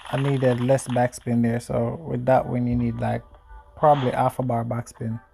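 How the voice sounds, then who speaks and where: A man's voice: medium pitch, little pitch movement, vocal fry, mellow, breathy edge. A man originally from Jamaica, talking casually through gameplay tips with frequent ums. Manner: explains slowly with many ums. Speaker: gamer giving tips.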